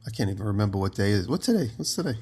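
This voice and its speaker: A man co-hosting a podcast, just out of bed with his voice lower than usual, telling long rambling stories from home over a remote call. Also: big pitch swings, very fast, darkish tone, breathy edge. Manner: rambling storyteller, giggly. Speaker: podcast co-host. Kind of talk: casual chat.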